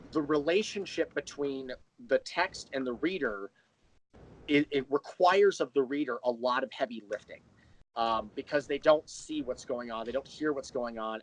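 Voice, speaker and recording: A man's voice: mid-range voice, slight background noise, rough recording. A man speaking as a writer and interview guest, chatting casually and explaining at length with enthusiasm. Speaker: interview guest, audio drama writer and creator.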